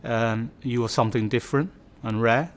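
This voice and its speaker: A man's voice: medium pitch, fairly even intonation, normal speed. A man in his fifties, from Bangladesh, telling his life story in a reflective way, with many fillers and restarts. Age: 50s. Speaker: community storyteller. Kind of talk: personal life story interview.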